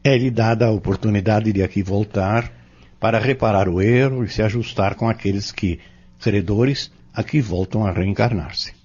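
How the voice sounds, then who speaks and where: A man with a Brazilian accent playing an earnest spiritual teacher in an audio novel, explaining in measured phrases and rhetorical questions. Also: lowish voice, a little mellow, husky. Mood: earnest, instructive. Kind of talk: dramatized audio novel dialogue, didactic. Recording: dull lo-fi audio.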